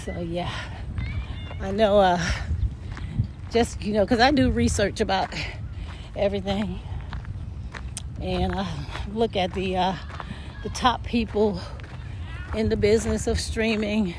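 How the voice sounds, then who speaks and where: An older woman chatting casually while walking uphill outdoors, a bit hoarse and winded, rambling with lots of fillers. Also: mid-range voice, fairly even intonation, takes it slowly, long pauses, noisy background. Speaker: vlogger. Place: outdoors in a park field.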